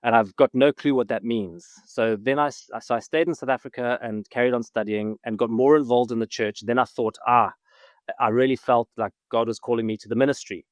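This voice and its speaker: A man's voice: mid-range voice, steady tone, a little fast, dry recording. A man from Zimbabwe, a guest on a podcast, chatting casually and enthusiastically, often laughing. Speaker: guest, film writer-director. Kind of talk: casual interview chat.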